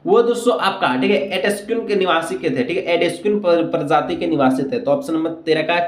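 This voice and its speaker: A man's voice: a little high, fast. A man teaching exam questions and answers, repeating himself and asking rhetorical questions as he goes. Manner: repetitive, frequent check phrases and rhetorical questions. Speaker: teacher, exam-prep video host. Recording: echoey room sound.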